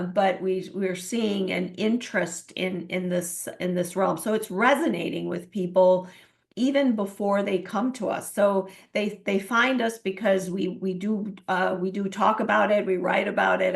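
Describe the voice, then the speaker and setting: Woman from Iran, coach and interview guest; gravelly, earnest, halting with fillers. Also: medium pitch. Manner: earnest, many fillers and restarts. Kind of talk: interview answers.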